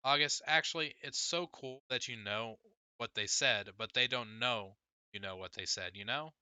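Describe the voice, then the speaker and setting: A man voicing an exasperated teenage girl, bright and forceful. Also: mid-range voice, moderate pace, smooth, dry recording. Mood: coaxing. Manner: emphatic, pleading, argumentative. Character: exasperated, insistent teenage girl, an older sister. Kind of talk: audiobook character dialogue.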